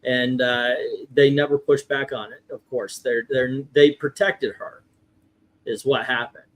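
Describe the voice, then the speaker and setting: Man chatting casually, halting delivery. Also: medium pitch, fairly even intonation, poor audio. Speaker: livestream host. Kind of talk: casual livestream chat.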